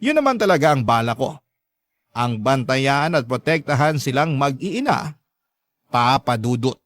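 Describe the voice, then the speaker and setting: A man reading a scripted first-person love story as narrator, in an expressive, sentimental way. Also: mid-range voice, unhurried, no echo.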